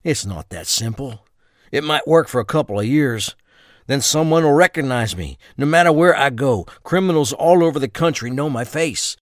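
A tough male criminal played by an actor in a crime audio drama. He is wary and streetwise, with blunt phrasing. His pitch sits mid-range and the recording is dry. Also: expressive, moderate pace, no room sound, close to an everyday voice. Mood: reluctant, resigned.

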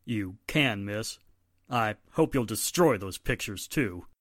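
A man's voice: lowish voice, lively intonation, takes it slowly, no echo, clean studio sound. A man playing a contrite, plain-spoken townsman in a 1940s radio drama. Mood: sheepish.

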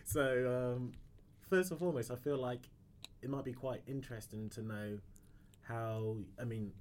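A man's voice: mid-range voice, fairly even intonation, measured pace, a little mellow, slight background noise. A man hosting a podcast, asking questions in a chatty, informal way. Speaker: podcast host. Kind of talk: casual interview.

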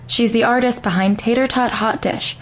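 A woman as a deadpan news correspondent. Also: on the high side, moderate pace, lightly acted. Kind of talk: deadpan parody news interview.

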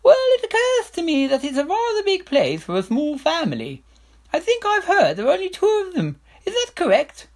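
A man voicing a vain, skittish king who speaks in an airy, evasive, hurried way. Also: very high-pitched, huge pitch swings, pitched above the performer's own voice, heavily acted. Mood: airy, nervous.

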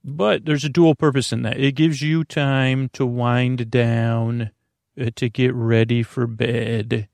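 A man hosting a bedtime podcast in a rambling monologue, halting and interrupting himself, with sentences that wander and trail off, in a gravelly voice. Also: medium pitch, little pitch movement, a little mellow, no echo. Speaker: podcast host.

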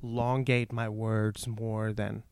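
A man's voice: fairly low pitch, flat delivery, takes it slowly, darkish tone, clean tone. A man from Pakistan who speaks English as a second language with a mostly American accent, chatting casually as a comedian guest and telling anecdotes. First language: non-native English, learned as second language.

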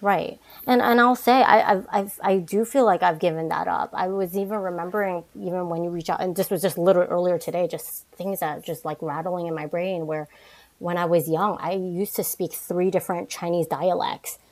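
A young woman from New York, a podcast guest talking casually with frequent restarts and quick asides. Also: lowish voice, steady tone. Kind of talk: casual interview conversation.

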